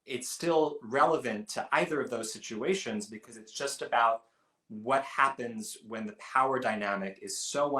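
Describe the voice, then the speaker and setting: A man co-hosting a film podcast, talking things through in a thoughtful, measured way. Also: on the low side, pushed and bright. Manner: thoughtful, measured, with frequent restarts. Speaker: podcast co-host. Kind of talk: casual film review chat. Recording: roomy echo.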